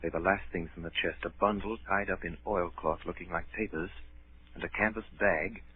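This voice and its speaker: An actor playing a grown man who gravely recounts his boyhood adventure, narrating in 1930s radio drama style. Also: sits low, steady tone, a little fast, lightly acted. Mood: grave, suspenseful. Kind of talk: 1930s radio acting, dramatic first-person storytelling. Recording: muffled, noisy 1930s radio broadcast.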